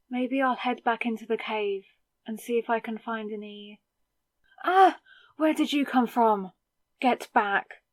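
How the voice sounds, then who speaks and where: A woman's voice: a little high, no echo. A woman acting in an audio roleplay as a confused, hungover new vampire, wary and sarcastic, muttering in exasperation to herself. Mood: pained, baffled, then alarmed, defiant.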